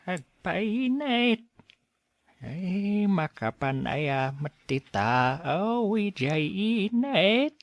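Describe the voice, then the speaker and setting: Sly old father acting senile played by a man, slow, swooping, muttering delivery, radio-drama style. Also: high-pitched, big pitch swings, no echo, exaggerated voice. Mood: confused, fretful. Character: elderly father slyly pretending to be senile. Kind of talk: Ilocano audio drama acting.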